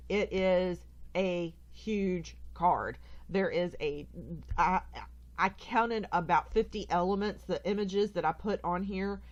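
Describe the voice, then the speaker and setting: A woman's voice: fairly low pitch, muffled recording. A woman with a stuffy, congested nose hosts a casual craft tutorial, chatty and rambling, often correcting herself as she goes.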